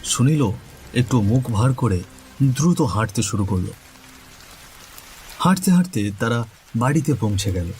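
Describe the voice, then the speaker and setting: A man narrates a suspense story at a very slow, deliberate pace, in a mid-pitched voice. Faint rain sounds sit behind him. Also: animated, a little mellow, slight background noise. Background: rain ambience.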